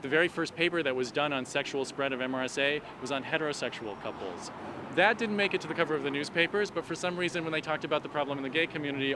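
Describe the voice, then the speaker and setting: Guy doctor activist explaining stuff in an outdoor interview, clear traffic noise behind him. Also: mid-range voice. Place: outdoors on an urban street at a protest. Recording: noisy city street with traffic. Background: street and traffic noise.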